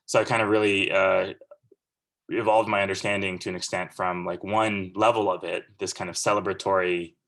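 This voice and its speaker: A man in his thirties speaks in a low, gravelly voice with a fairly flat, even delivery. He talks in an earnest, conversational and rambling way, giving a guest lecture over a video call. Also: normal speed. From Central California, USA. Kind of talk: casual lecture.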